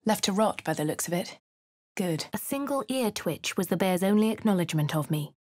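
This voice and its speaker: An actress playing a posh, composed fantasy adventurer in a video game, with a British accent and a refined, measured, dramatic delivery. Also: female voice, medium pitch, lively intonation, darkish tone, no room sound, studio quality, lightly acted. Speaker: voice actor for a video game player character. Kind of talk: scripted game voice lines and narration.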